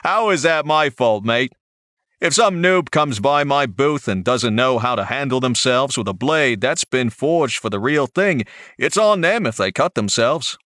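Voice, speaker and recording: A man's voice: mid-range voice, lively intonation, a little slow, fairly bright tone, no echo, clean studio sound. A man voicing a brash male blade vendor with an Australian accent, talking like a pushy salesman. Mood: blunt.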